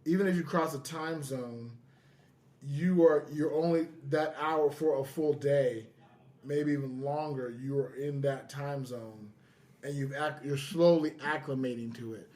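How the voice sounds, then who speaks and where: A man's voice: medium pitch, measured pace, darkish tone. A man hosting a podcast in casual chat, often repeating his phrases for emphasis. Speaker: podcast host.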